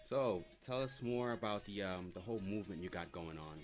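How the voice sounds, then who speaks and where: A man hosting a casual interview, relaxed and laughing often as he asks short questions. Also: lowish voice, a little fast, faint music behind. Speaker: interviewer host.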